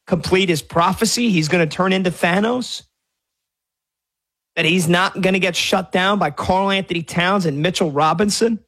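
A man with a fairly high voice hosts a sports talk radio show. He argues hard, stacking one rhetorical question on another to make his case. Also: a bit bright. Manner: argumentative, fires off rhetorical questions.

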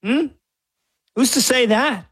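A man's voice: very high-pitched, slow, a bit bright, slightly rough. A man hosting a sports talk radio show, arguing his point through a stream of rhetorical questions. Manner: argumentative, fires off rhetorical questions.

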